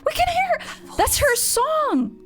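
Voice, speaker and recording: A young woman streaming her reaction to a game story, chatting casually and swinging between squeals and flat rambling asides. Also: very high voice, slow pace. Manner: excitable, gasps and squeals, then drops to flat rambling. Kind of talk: casual live reaction commentary. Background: game music.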